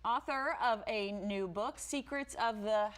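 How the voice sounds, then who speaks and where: A woman's voice: a little high, a little slow. Polished woman TV host. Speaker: TV show co-host. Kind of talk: scripted TV introduction.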